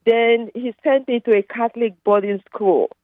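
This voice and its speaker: A woman's voice: a little high, moderate pace, no echo. Nigerian lady calling in, rambling with lots of fillers, tinny phone line. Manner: rambling, many fillers and restarts. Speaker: caller. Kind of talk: casual phone call.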